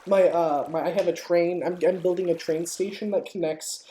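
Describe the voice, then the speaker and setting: A woman's voice: lowish voice, steady tone, normal speed, dark tone, natural voice. A young woman chatting casually and effusively in slang while playing a building game. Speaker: player in a multiplayer block-building game. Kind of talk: casual gaming chat, acted in a dramatic reading. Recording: noisy room, keyboard clicks.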